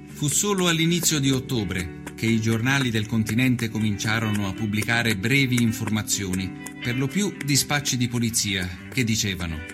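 A man performing an earnest nineteenth-century Italian journalist who recounts his travels in a measured, solemn, literary radio monologue. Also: medium pitch, close to an everyday voice. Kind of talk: scripted dramatic narration, radio storytelling.

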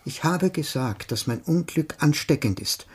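An actor playing an anxious, weary ex-soldier burdened by guilt, with a husky voice, in a radio drama. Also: male voice, a little high, expressive, measured pace. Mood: despairing.

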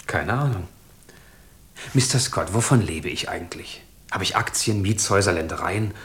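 An actor playing a bewildered, wry, wealthy Englishman in a German radio drama, musing aloud in an animated manner. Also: deep voice, moderate pace. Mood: puzzled, musing.

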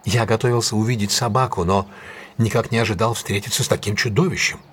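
An actor playing a self-assured gentleman detective in an audio drama, decisive, courteous and authoritative. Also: fairly low pitch, steady tone, quick pace, breathy edge. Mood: composed, apologetic. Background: music.

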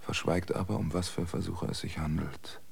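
Wary survivor, deep gravelly voice. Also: male voice, fairly even intonation, normal speed, very noisy. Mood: sober, watchful. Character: wary, sober male survivor keeping a solitary log. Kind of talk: German radio drama monologue and dialogue.

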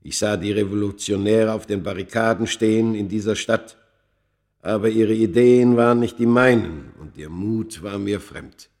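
A low male voice for a radio play an immortal man of ancient Egypt. He is world-weary and tormented, and tells of his centuries in a grave, measured, literary manner. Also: darkish tone. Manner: grave, deliberate, literary delivery. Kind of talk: dramatic first-person radio play narration.

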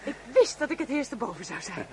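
An actress playing an exuberant, flirtatious, competitive woman in a Dutch radio play, exclaiming excitedly. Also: on the high side, fairly quick, a little hiss or hum. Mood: triumphant, excited. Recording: old radio recording with loud commotion.

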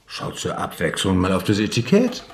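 A man acting in a German radio drama as a brisk, teasing middle-class dinner host, wry and commanding. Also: low voice, huge pitch swings, vocal fry, exaggerated voice.

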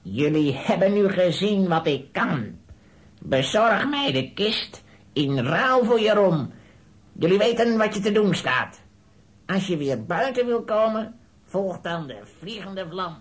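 An actor playing a menacing bird-headed sorcerer villain in a children's audio play, slow, commanding and threatening. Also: mid-range voice, big pitch swings. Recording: roomy, echoing villain voice.

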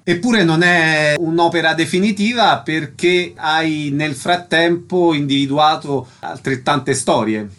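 A man's voice: fairly high pitch, a little slow, few pauses, a bit bright. A man interviewing a guest on radio, asking concise, prepared questions.

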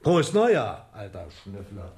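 Swooping, sardonic police chief. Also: male voice, on the low side, wildly swooping pitch, unhurried, a little mellow, full character voice. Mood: needling. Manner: mocking, blustery, teasing. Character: sardonic male police chief, bossy and needling. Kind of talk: German crime radio play acting.

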